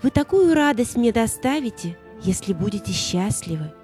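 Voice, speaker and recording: A woman's voice: fairly high pitch, sing-song, dark tone, faint music behind. A woman playing a tender, radiant young mother, the spirit of maternal love, in an audio play. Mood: tender, loving. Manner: tender, unhurried, caressing address.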